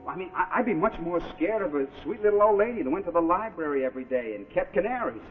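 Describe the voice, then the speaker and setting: An actor playing a nervous young husband who rambles and explains to reassure, in 1940s television suspense style. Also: male voice, high voice, animated, quick pace. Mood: nervous reasoning. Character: nervous, reasoning young husband sheltering from storm. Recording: tinny 1940s television soundtrack with loud music.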